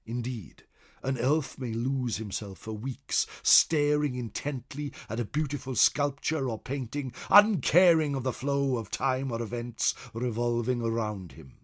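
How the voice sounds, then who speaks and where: A man voicing a venerable old scholar of a fantasy world, telling its lore in a theatrical, portentous storytelling manner, pausing for emphasis. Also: medium pitch, natural voice. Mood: grave, disdainful. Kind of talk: dramatic in-character storytelling narration.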